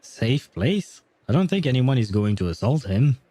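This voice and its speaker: A man's voice: mid-range voice, big pitch swings, normal speed, dark tone, dry recording. A man casually commentating on a game stream, with a blunt, wry and often profane manner, close to the mic. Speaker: livestreamer.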